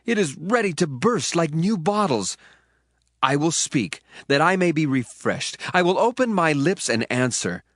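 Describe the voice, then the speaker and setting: A man's voice: on the high side, big pitch swings, measured pace, fairly bright tone, no echo. A man playing Elihu, young and indignant. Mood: earnest. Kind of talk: dramatized scripture reading. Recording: dry voice, faint music underneath.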